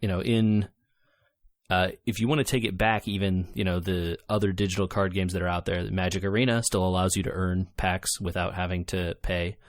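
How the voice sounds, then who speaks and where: A man's voice: sits low, fairly even intonation, fairly quick, dry recording, studio quality. A man co-hosting a casual gaming podcast, talking emphatically and with exasperation, repeating words for stress. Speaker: podcast co-host.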